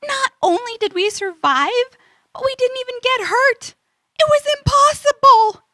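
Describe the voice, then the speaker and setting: A woman playing a sensible, earnest young adventurer in a children's audio drama, expressive and easily astonished. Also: very high-pitched, unhurried, no echo, heavily acted. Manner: earnest, expressive, stammers in disbelief.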